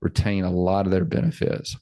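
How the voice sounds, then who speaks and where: Gravelly deep-voiced man in his forties. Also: little pitch movement, brisk, warm tone, no echo, studio quality. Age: 40s. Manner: chatty, repeats words, laughs easily. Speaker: financial planner. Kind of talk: casual podcast chat.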